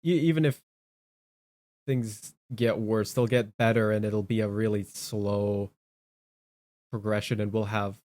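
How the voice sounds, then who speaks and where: Dude co-hosting politics talk, kinda low voice, dry sound, halting and repeats words. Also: male voice, steady tone, darkish tone, no echo. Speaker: talk show co-host. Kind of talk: casual political chat.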